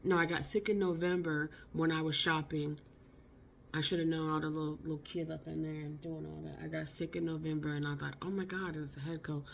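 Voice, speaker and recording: A woman, hoarse from a cold, telling a casual, slangy story for her vlog. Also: deep voice, a little fast, slight background noise. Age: 50s. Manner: chatty, expressive, slangy. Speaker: vlogger.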